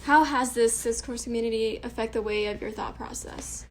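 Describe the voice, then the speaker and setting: A woman's voice: fairly high pitch, steady tone, moderate pace, a little hiss or hum. A young woman, a native Cantonese speaker, politely reading scripted interview questions for a class presentation.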